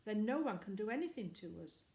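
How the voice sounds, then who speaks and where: A woman's voice: mid-range voice, big pitch swings, a little fast, a little mellow. A woman voicing a brusque, impatient young schoolboy in a storybook. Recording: echoey room.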